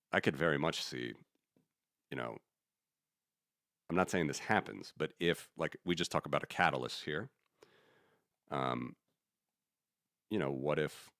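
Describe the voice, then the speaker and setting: A man with an extremely deep voice talks through market ideas in a loose, rambling way, restarting phrases and giving blunt opinions. The sound is dry and close, with no room around it. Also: fairly bright tone, no room sound. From United States. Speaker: podcast host. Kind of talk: casual economics commentary.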